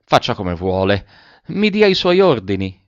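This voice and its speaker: A man reading the part of a reserved, awkward young gentleman suitor in a story, polite and brief. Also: fairly low pitch, big pitch swings, unhurried, no room sound, highs cut off.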